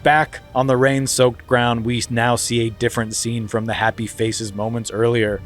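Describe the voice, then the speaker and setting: A man's voice: medium pitch, little pitch movement, moderate pace, soft background music. A man hosting a podcast, reading a screenplay aloud in a mock-dramatic comedic way. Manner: mock-dramatic, breaking into laughter. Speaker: podcast host.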